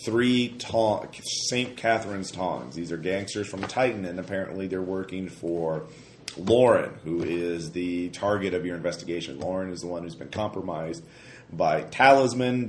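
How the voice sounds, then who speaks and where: A man with a stuffy, slightly hoarse voice from a cold, narrating a tabletop game as game master in a rambling way, full of fillers and restarts. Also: low-pitched. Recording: roomy echo, faint noise.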